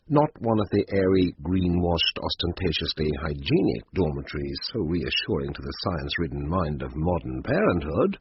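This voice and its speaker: A man narrating a radio drama in a literary, dryly formal, wry manner. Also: low-pitched. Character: measured, wry narrator of a school murder mystery. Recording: muffled, lightly noisy old radio recording.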